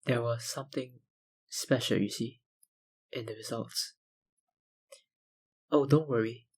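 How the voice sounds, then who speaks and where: Man playing a slow, breathy, obsessive vampire. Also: mid-range voice, steady tone. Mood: calm, reassuring. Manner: slow, deliberate, politely insinuating, with sighs and pauses. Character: obsessive, smooth-talking male vampire lab researcher, menacingly polite. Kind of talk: intimate ASMR roleplay monologue.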